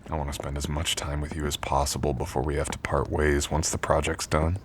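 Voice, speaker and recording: A very low-voiced man acting as a college jock, young, protective and tender. He talks in an intimate boyfriend ASMR style, softly teasing and reassuring, with noticeable noise behind the voice. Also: little pitch movement, brisk. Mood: teasing, affectionate. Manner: soft, teasing, gently reassuring. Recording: clearly audible background noise.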